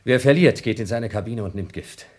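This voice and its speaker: An actor playing a scheming, desperate crewman on a space station in a German radio play, husky and insistent. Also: lowish voice, brisk, darkish tone, close to an everyday voice. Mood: grimly calm. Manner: domineering, theatrical, insistent.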